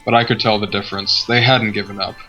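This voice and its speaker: A man's voice: lowish voice, steady tone, quick pace, pushed and bright. A man voicing a proud, bitter young nobleman from a poor family, read formally and solemnly from a visual novel. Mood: solemn, resolute. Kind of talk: dramatic reading of visual novel letter. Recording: dull audio, music clearly behind.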